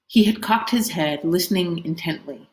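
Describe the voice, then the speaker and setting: A woman's voice: lowish voice, normal speed, a little hiss or hum. Woman reading a storybook in an echoey room. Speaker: read-aloud host reading a children's novel. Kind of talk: expressive storybook narration. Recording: roomy, echoing indoor sound.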